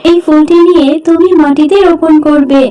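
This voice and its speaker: A woman voicing a kind, talking magic flower in a children's cartoon, gentle and reassuring. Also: high-pitched, fairly even intonation, brisk, close to an everyday voice. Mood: kindly.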